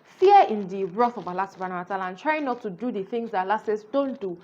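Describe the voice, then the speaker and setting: A woman giving a casual spoken book review, earnest and explanatory, often filling pauses with "you know". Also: mid-range voice, animated, a little mellow, lo-fi sound.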